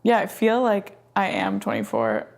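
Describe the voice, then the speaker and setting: A woman's voice: medium pitch, sing-song, vocal fry, darkish tone. A woman in her mid twenties speaking hesitantly in a documentary interview, searching for words. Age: mid 20s. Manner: hesitant, halting.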